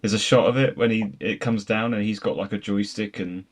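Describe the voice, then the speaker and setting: A man's voice: on the low side, steady tone, brisk. A man chatting casually as a panelist on a film discussion, rambling through anecdotes. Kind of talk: casual chat.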